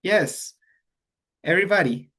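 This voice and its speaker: Guy teaching english grammar, slow, switches to to explain. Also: high voice, lively intonation, fairly bright tone. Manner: slow, explains in English then repeats in Spanish. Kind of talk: online grammar lesson.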